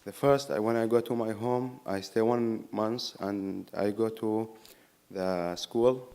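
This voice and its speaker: Young Syrian man, halting English. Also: fairly low pitch, darkish tone, clear, resonant tone. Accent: Syrian Arabic. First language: Arabic. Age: young adult. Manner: simple halting English, apologetic. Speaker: youth program client speaking at a meeting.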